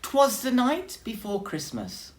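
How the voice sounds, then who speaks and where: A woman voicing a proud, easily flustered male bear in a children's storybook, booming and emphatic. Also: wildly swooping pitch, slow, a little hiss or hum, exaggerated voice. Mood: reciting grandly. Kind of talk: children's storybook character reading.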